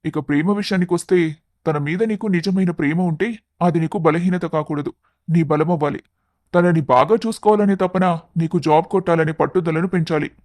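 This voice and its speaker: A man acts out a level-headed young best friend in an animated moral story. The voice is high for a man with almost no echo, and it advises in an encouraging, motivational way. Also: moderate pace, clean studio sound, natural voice.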